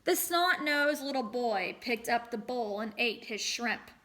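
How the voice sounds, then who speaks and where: A woman reading a storybook aloud as a teacher, expressive and pausing to address young listeners. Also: fairly high pitch. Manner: expressive, acts out sound words.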